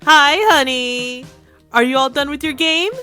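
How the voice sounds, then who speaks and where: A woman acts a doting mom in an audio drama, high and bright. She is cheerful and coaxing, sweetly fussing over her child. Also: fairly even intonation, measured pace, soft background music. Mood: cheery, coaxing.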